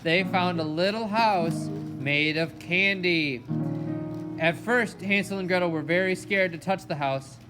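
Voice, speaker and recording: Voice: medium pitch, a little slow, over music, poor audio. A narrator telling a fairy tale in a storytelling style for a children's puppet show.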